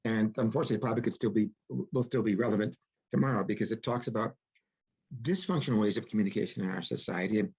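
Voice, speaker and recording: A man's voice: fairly low pitch, fast, a little mellow, slightly rough, highs cut off. A man speaking as an interviewed author and producer, explaining things reflectively with folksy turns of phrase. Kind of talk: interview conversation.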